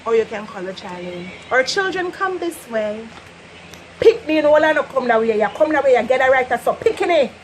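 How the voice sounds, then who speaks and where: A young woman from Jamaica with a Jamaican accent, teaching patois in an animated, laughing casual vlog. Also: high-pitched, normal speed, a little hiss or hum, poor audio. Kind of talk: casual chatty lesson.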